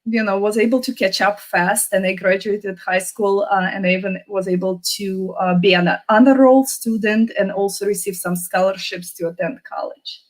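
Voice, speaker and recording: A woman's voice: medium pitch, steady tone, normal speed, hardly any pauses. A woman in her thirties, raised in Russia and speaking English as a second language, chatting upbeat as an interview guest with many fillers. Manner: chatty, upbeat, with frequent "you know" fillers. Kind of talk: casual interview.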